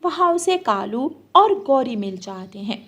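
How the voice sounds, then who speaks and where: A woman's voice: high voice, very animated, unhurried, a bit bright. A woman narrating a scripted children's story as the storyteller.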